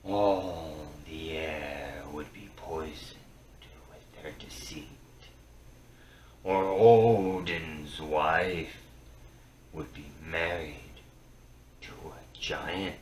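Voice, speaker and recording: A man giving a solemn, theatrical reading of mythic poetry, in halting phrases that swing between hushed and booming. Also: steady tone. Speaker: storyteller reciting Norse myth. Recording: roomy, distant mic with faint noise.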